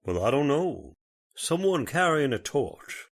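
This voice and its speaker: Genial older judge male actor, old-time radio horror; folksy, deliberate, very lively intonation. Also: on the high side, exaggerated voice. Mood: polite. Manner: folksy, deliberate, hesitant politeness.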